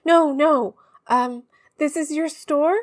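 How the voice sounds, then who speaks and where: A woman's voice: sits high, slow, no echo, studio quality. A woman playing a frantic, chatty young woman on the run in an audio drama, with a rambling, confiding manner. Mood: panicked, frantic. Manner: rambling, informal, breathless asides to listeners. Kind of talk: first-person audio drama, confiding podcast monologue.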